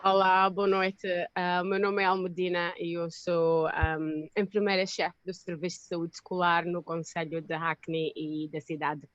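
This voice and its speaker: A woman's voice: on the low side, flat delivery, a little slow, hardly any pauses. A woman nurse, chatting informally at an online event. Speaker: panelist, head school nurse. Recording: dry, muffled online call.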